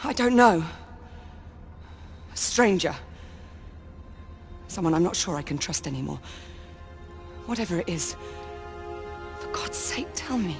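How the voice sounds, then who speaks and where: An actress playing a worried, forthright comrade of a knight in a video game, earnest and demanding. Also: female voice, on the low side, expressive, measured pace, vocal fry, long pauses, music in the background. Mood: hurt, pleading. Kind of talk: dramatic video game acting.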